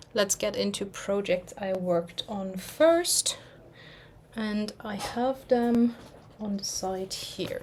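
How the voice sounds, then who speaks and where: A young German woman speaking English as a second language, chatting in a loose, rambling way. She pauses now and then to hunt for a word and laughs at herself. Her voice sits at an ordinary middle pitch. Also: a little hiss or hum. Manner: rambling, self-deprecating, searches for words. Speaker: cross-stitch vlogger. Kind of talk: casual chat.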